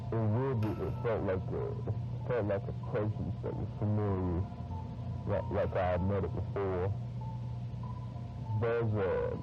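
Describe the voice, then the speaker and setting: Guy playing shaken low-voiced witness in analog horror interview, stumbles over words, muffled old tape with music. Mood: uneasy, dreading. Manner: halting, hesitant, repeating words. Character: troubled male witness interviewed about eerie events. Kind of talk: mock documentary interview.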